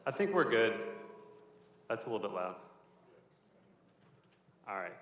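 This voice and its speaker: Male event host on a handheld mic, low-pitched, conversational, reverberant hall. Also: sing-song, a little slow, a bit bright. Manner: conversational, a bit apologetic. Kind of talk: live on-stage interview. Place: event space with a small audience. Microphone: handheld microphone. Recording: roomy live venue.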